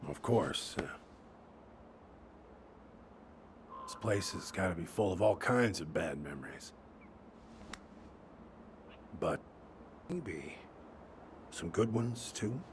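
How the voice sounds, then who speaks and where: A man's voice: sits low, lively intonation, slow, pauses often, slight background noise, full character voice. An actor playing a middle-aged small-town handyman in a video game, awkward and well-meaning, with a hesitant, folksy way of talking. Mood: hesitant, wistful. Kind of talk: naturalistic video game acting.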